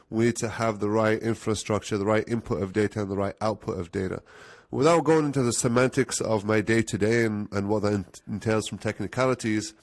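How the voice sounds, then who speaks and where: Lowish-voiced man from Algeria, relaxed. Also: no echo. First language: Arabic. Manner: relaxed, explanatory, with fillers. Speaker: guest, marketing analytics head. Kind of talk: podcast interview.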